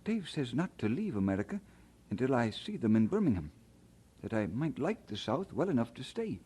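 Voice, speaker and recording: Young man, homesick, hesitant, musing, lively intonation, soft mellow tone, old-time radio drama. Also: medium pitch, moderate pace, breathy edge. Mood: nostalgic, eager. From Scotland. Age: young adult. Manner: hesitant, musing, given to chuckling. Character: homesick, discouraged young Scottish immigrant man.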